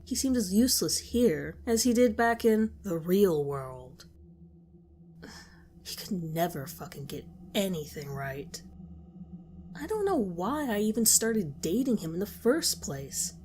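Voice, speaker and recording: A woman narrating a horror story in a slow, hushed voice that sometimes drops to a whisper. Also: medium pitch, expressive, slight background noise.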